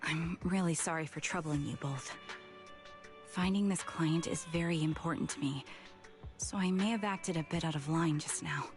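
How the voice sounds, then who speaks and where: Lady acting a calm ex military woman in a game, polite n measured, kinda low voice, music behind. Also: lightly acted. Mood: apologetic. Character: calm, composed retired woman soldier with keen senses. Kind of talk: scripted video game voice acting. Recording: game audio with music underneath. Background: background music.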